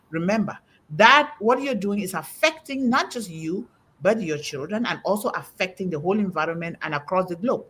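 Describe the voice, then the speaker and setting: A woman's voice: medium pitch, husky. A middle-aged woman hosting a panel talk, delivering an emphatic, preaching-style message and quoting scripture with rising emphasis. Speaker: host.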